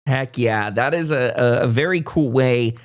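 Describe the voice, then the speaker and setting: A man's voice: mid-range voice, normal speed. A man hosting a casual, unscripted podcast in a chatty, informal manner. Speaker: podcast host.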